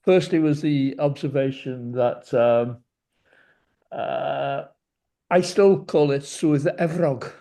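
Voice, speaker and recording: A man's voice: mid-range voice, slow pace, creaky, dark tone. A Welsh man whose first language is Welsh, asking a question on a video call, speaking hesitantly with many uhs and ums. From Wales. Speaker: audience member asking a question.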